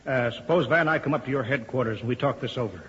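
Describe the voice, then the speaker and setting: An actor playing a cool, commanding male chief in a 1950s radio drama, speaking deliberately and with authority. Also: mid-range voice, background noise, natural voice. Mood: measured, persuasive. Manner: authoritative, calmly needling, deliberate. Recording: dull muffled 1950 radio broadcast.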